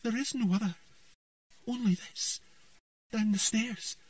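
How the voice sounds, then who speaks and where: A man's voice: high voice, a little slow, slightly rough, no room sound, recorded from a distance, muffled recording, voice pushed up. A man voicing a frightened young girl in a trance, speaking in a shaky, pleading voice.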